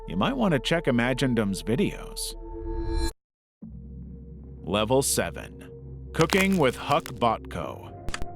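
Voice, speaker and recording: A man's voice: medium pitch, vocal fry. A man narrating a scripted documentary-style video essay as its narrator.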